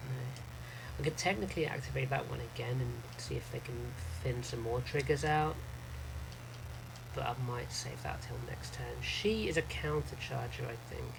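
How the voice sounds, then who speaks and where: A man's voice: mid-range voice, moderate pace, gravelly. A man streaming a card game live, chatting casually, thinking aloud over his moves and answering chat. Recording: noisy background ambience.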